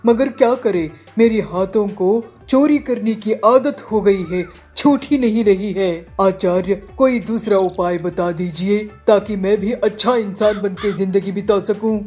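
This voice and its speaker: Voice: medium pitch, unhurried, few pauses, darkish tone, lightly acted. A performer playing a humble, repentant bandit in a cartoon moral story, earnest and pleading. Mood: troubled, remorseful. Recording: music behind the voice.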